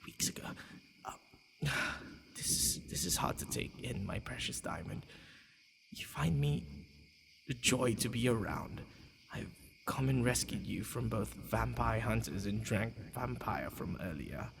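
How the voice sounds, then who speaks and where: A man playing a centuries-old vampire lover, tender, possessive and flustered, halting and sighing in an intimate ASMR romance roleplay with night insects faintly behind him. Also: on the low side, expressive, noisy background. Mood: flustered, disbelieving. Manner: halting, stammering, breathy sighs.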